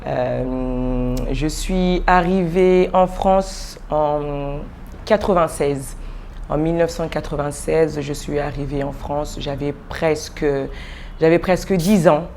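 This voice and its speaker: A woman in her forties from Congo, a pastor being interviewed, telling her story softly with many pauses, fillers and restarts. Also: slight background noise. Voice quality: low, soft voice with frequent fillers and restarts. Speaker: pastor, guest.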